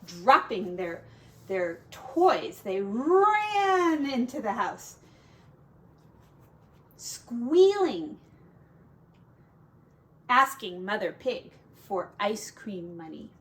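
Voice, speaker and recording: A woman teacher reading a children's story aloud in an expressive storytelling style. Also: medium pitch, extremely slow, pauses often.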